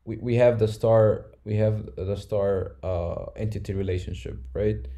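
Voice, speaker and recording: A man with a low, very dark voice asks a question on a call. He hesitates and stumbles, repeating his words as he works out what to ask. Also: steady tone, a little slow. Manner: hesitant, stammering restarts. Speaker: audience member asking a question. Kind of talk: casual question.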